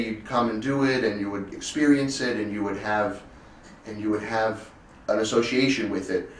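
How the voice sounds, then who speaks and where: Young man, lowish voice, roomy interview sound. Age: 20s. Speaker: cello soloist, interviewee. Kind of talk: thoughtful interview conversation. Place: furnished indoor room, seated on sofa. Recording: roomy echo, distant mic, faint noise.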